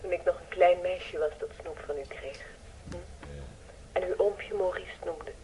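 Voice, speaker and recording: An actress playing a composed, guarded young woman who questions quietly and gently in a radio play. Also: lowish voice, steady tone, unhurried, lightly acted, poor audio. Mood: reserved, gentle. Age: young adult. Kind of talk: radio drama acting. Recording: radio play with clear background noise.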